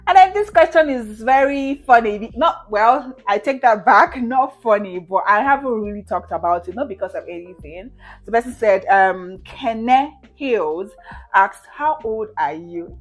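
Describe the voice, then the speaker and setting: A woman's voice: a little high, expressive, measured pace, soft background music. A woman in her twenties from Nigeria, chatting casually to camera as she answers viewer questions, with frequent "you know" and "right?" and easy laughs. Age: 20s.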